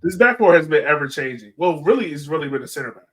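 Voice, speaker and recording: A man's voice: fairly high pitch, lively intonation, quick pace, breathy edge. A man hosting a podcast, chatting casually about sports in an informal, bantering way. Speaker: podcast host.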